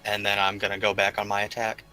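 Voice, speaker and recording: Bright, low, even-toned man gaming. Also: fast talker, soft background music, poor audio. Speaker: player in a tabletop roleplaying game. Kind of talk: casual game-table talk.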